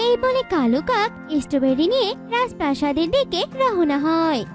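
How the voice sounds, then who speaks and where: A woman's voice: very high voice, sing-song, moderate pace, faint music behind. A woman narrating a children's cartoon story in a scripted storytelling style.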